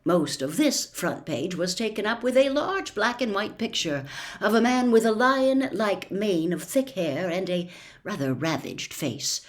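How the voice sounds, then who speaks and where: A woman reading a novel aloud as a storyteller, in a dramatic, deliberate, expressive audiobook style. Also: medium pitch.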